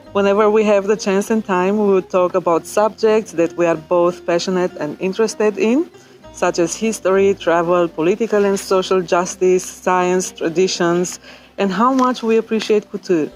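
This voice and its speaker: A woman hosting an interview, asking questions in a polite, formal and measured way. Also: medium pitch, darkish tone, low-quality recording. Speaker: interview host.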